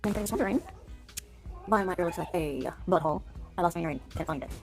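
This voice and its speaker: A woman's voice: on the low side, expressive, quick pace. A woman chatting casually and enthusiastically in a vlog, as a homeschooling mother talking to viewers and her children. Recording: music behind the voice. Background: music.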